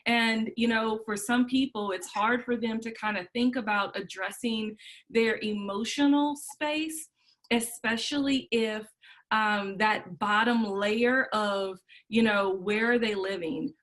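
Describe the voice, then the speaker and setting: A woman's voice: fairly high pitch, slow, a bit bright. Encouraging woman mental health panelist. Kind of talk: online panel discussion.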